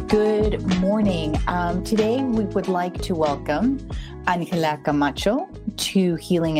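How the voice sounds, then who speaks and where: A woman hosting an interview, asking questions in a measured, polite way. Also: fairly low pitch, dark tone. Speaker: interviewer, host.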